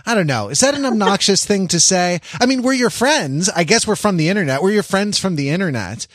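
A man co-hosting a podcast in casual chat, talking in a halting, self-interrupting, jokey way. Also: high-pitched, lively intonation, no room sound, clean studio sound. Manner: jokey, teasing. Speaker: podcast co-host.

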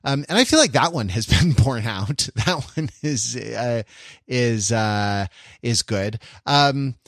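A man hosting a casual panel podcast, speaking in a halting, tentative way as he searches for words. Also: medium pitch, animated, a bit bright, dry recording, clean studio sound. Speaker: podcast host.

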